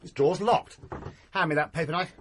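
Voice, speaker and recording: An actor playing a blunt, impatient gentleman who turns nervous and stammers, in a radio drama. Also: a little high, fairly quick, a little hiss or hum, natural voice.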